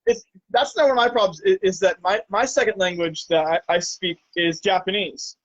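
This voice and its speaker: A man chatting over a video call from his hot tub, talking in a halting way with many restarts. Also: high voice, no room sound, lo-fi sound. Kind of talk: casual chat. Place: home hot tub, outdoors in a storm.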